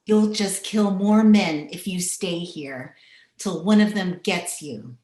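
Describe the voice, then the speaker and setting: A woman playing a bitter, hardened widow in an old-time radio western, speaking in a curt, deliberate manner. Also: on the low side, a little mellow, a little hiss or hum. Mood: cold, menacing. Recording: echoey room over video call.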